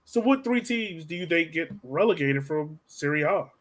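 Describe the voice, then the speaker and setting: Lively, higher-voiced male podcast host. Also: normal speed. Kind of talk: casual sports interview.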